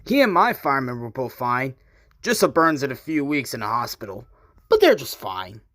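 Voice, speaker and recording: A man voicing a battered old male rescue engine in a fan comic dub, weary, stoic and gentle. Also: medium pitch, huge pitch swings, normal speed, no room sound, clean studio sound, pitched below the performer's own voice. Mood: wry, resigned. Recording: music under the voice.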